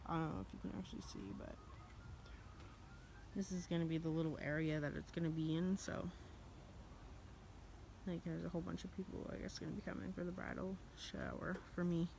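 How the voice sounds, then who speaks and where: A woman's voice: on the low side, flat delivery, fairly quick, vocal fry, long pauses, darkish tone. Young woman vlogging, noisy phone audio. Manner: informal, rambling, talks to a pet. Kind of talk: casual vlog chat. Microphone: handheld phone. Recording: noisy handheld phone recording.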